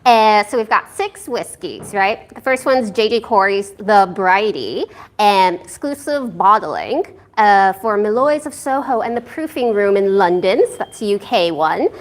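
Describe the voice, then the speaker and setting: A woman with an American accent co-hosting a whiskey tasting night, briskly reading the lineup from a clipboard. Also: mid-range voice, expressive. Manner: brisk, reads a list from a clipboard. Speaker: whiskey society co-host.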